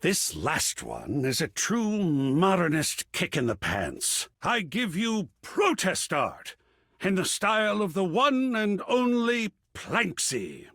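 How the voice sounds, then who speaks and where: A man voicing an excitable, cultured art curator character in a video game, theatrical and erudite, with precise diction and a husky, breathy voice. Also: high-pitched, slow, dry recording, clean studio sound, heavily acted. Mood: thrilled, bold. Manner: effusive, erudite, precisely enunciated, dramatic. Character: excitable, cultured art object come to life, gallery curator.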